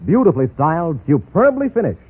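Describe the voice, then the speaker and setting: Male vintage commercial pitchman, rhyming delivery, very husky, animated, thin radio sound. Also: fairly quick. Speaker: advertisement pitchman. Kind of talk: rhymed 1950s-style radio commercial. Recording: tinny, narrow-band old radio recording.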